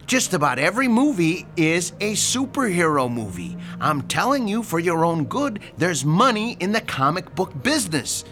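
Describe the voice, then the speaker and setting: An actor playing a blunt, sarcastic landlord in a radio drama. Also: male voice, high voice, sing-song, normal speed, few pauses, a bit bright, soft background music. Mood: scolding.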